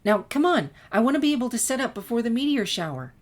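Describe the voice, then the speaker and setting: A woman puts on an earnest teenage science lover for a storybook reading. The girl speaks in a raised pitch, eager and matter-of-fact. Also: sing-song, fast.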